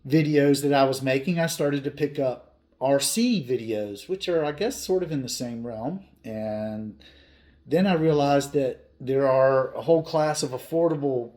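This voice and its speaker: A man's voice: medium pitch, a little slow, darkish tone. A man in his fifties hosting a hobby channel, talking casually to viewers in an earnest, plain-spoken way with emphatic repetition. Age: 50s.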